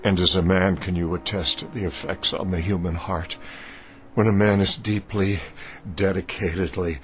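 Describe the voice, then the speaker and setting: Actor as low-voiced, desperate older man. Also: steady tone, normal speed, faint music behind, lightly acted. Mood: wounded, formal. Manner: formal, earnest, theatrical. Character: desperate, lovesick older man, proud and pleading. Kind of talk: radio drama acting.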